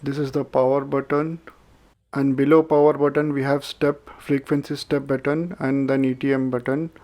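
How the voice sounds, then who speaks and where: A man's voice: medium pitch, flat delivery, moderate pace, a little mellow. A man reviewing a radio, talking hesitantly and methodically with many filler sounds, recorded indoors at a desk. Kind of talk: product review and unboxing. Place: indoors at a desk with a tripod camera.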